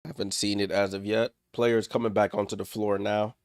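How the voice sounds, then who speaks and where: A man's voice: fairly low pitch, flat delivery, normal speed, clean tone, no room sound, studio quality. A man hosting a live watchalong stream, calling the game in short clipped play-by-play bursts and chatting with viewers, a little under the weather and congested. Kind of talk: casual live play-by-play commentary.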